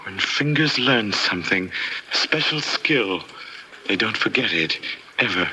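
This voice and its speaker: A man's voice: fairly low pitch, animated, unhurried, breathy edge, exaggerated voice, rough recording. An actor playing a tormented man losing his mind, narrating and acting in an intense, theatrical 1950s radio horror style. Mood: weary, brooding. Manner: intense, theatrical, swinging from hushed to desperate. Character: haunted, frantic male pianist losing his mind. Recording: old radio broadcast, music underneath.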